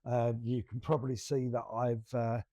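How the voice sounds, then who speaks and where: A man's voice: medium pitch, little pitch movement, moderate pace, dark tone, no room sound. A middle-aged man, co-hosting a casual podcast and rambling through many restarts with self-deprecating laughs. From England. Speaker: podcast co-host. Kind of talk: casual chat.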